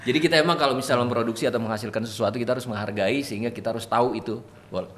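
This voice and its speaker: A man's voice: medium pitch, fairly even intonation, racing, heavy background noise. A man hosting a casual interview with a quiz game, playful and laughing often. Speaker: host.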